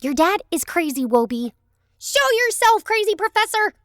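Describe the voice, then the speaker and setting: A woman's voice: very high-pitched, big pitch swings, normal speed, fairly bright tone, no room sound, studio quality, heavily acted. A woman playing a brash, skeptical, impulsive young girl in a children's audio drama, with a blunt manner. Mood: demanding. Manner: blunt, exclamatory. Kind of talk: children's audio fiction acting.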